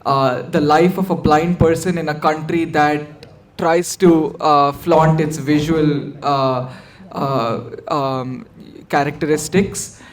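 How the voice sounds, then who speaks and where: A young man introducing himself on a panel, with many pauses and fillers. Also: on the high side, fairly even intonation. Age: young adult. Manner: halting, many fillers and restarts. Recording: echoey hall, faint ambience.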